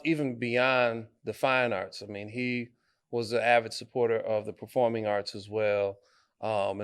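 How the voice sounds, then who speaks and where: Man from detroit, guest artist, smooth resonant voice, thoughtful, halting. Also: mid-range voice. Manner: thoughtful, halting, many fillers and restarts. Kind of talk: conversational interview.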